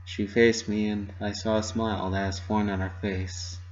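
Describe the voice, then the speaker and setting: A man's voice: fairly low pitch, little pitch movement, moderate pace, dull audio. A man giving a dramatic reading of a story, narrating in the first person. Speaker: amateur fan fiction reader.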